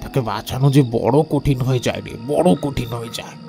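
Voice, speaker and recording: A man's voice: medium pitch, brisk, vocal fry, warm tone, faint music behind, natural voice. A man playing a grave, sorrowful village father, giving ominous warnings and repeating phrases in a horror audio drama.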